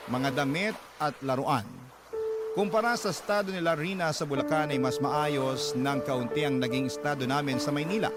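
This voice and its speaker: Man, confessional storytelling narration, steady reading, loud music underneath. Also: fairly high pitch. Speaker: letter-sender narrator.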